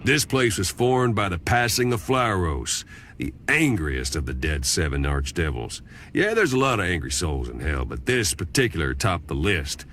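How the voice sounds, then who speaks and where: Deep, wry fallen angel. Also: male voice, big pitch swings, normal speed, a little hiss or hum. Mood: wry storytelling. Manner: folksy, wry, colloquial drawl. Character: wry fallen angel turned talking skull, folksy storyteller. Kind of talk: scripted game cutscene narration. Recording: loud music behind.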